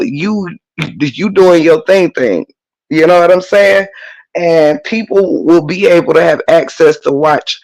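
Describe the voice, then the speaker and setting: A man whose voice is worn down and hoarse, and a little stuffy, talks on his own livestream and reads out chat comments. His voice sits a bit high for a man. He rants with heavy swearing and hammers his points again and again. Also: rough recording. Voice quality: profane, emphatic ranting with repetition. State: hoarse, losing voice; congested. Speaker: livestream host. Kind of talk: casual livestream talk, reading chat.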